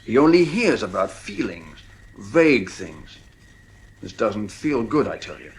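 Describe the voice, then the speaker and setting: Blunt uneasy shrink in an old 50s radio play, voice swings up and down, authoritative. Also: male voice, fairly low pitch, big pitch swings, measured pace. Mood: uneasy, grave. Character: blunt, uneasy male psychiatrist and family friend. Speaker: radio drama actor. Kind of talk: 1950s radio drama acting.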